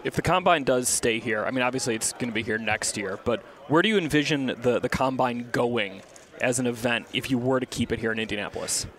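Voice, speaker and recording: A man co-hosting a sports media show outdoors, chatty and storytelling, his voice slightly hoarse. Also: mid-range voice, a little fast, fairly bright tone. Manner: chatty, storytelling, restarts often. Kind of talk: casual radio-style chat. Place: outdoors at a city event.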